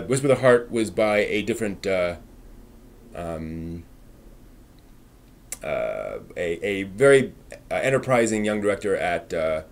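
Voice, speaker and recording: A man presenting news as a live stream host in an informal way, with somewhat halting delivery. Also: fairly low pitch.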